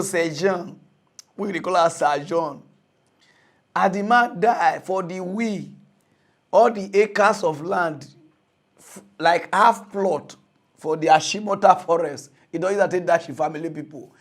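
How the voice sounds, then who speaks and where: A young Nigerian man hosting a mock news comedy show, ranting in a mocking, animated way and laughing often. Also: sits high. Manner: animated, mocking, laughs often, switches to loud excited outbursts. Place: studio with green screen.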